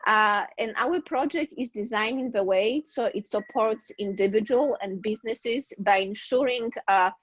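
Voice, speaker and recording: A woman's voice: a little high, slightly rough, no echo. Polish accent woman, dean type, lots of uhs and restarts, dull dry call audio. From Poland. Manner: halting, many fillers and restarts. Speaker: university dean, guest grantee. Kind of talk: webinar presentation.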